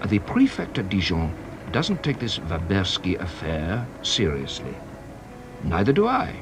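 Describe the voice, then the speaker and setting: An actor playing a shrewd, playful French police inspector in a British radio drama, with a French accent and a teasing, theatrical delivery. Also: male voice, low-pitched, huge pitch swings, measured pace, background noise, heavily acted. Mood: brisk, probing.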